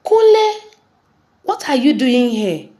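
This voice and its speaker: A woman's voice: sits high, sing-song, slow, dark tone, voice pushed up, full character voice. A woman voicing a protective, caring father. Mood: outraged.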